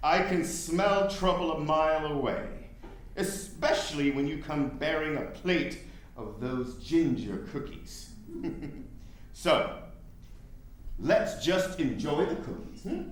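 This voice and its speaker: In a very echoey room, an actor plays a principled, exasperated 19th-century abolitionist man in a stage drama. His voice runs high for a man and swings widely, with slow, formal, deliberate phrasing. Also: expressive. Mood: wary, weary. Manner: emphatic, deliberate, formal diction with dramatic pauses. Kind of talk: staged play reading, theatrical dialogue.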